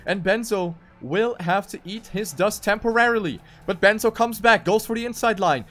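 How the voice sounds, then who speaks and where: A man's voice: high-pitched, moderate pace, fairly bright tone, soft background music. A man commentating live on a racing game, calling the action play by play and repeating phrases for emphasis. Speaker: esports race commentator.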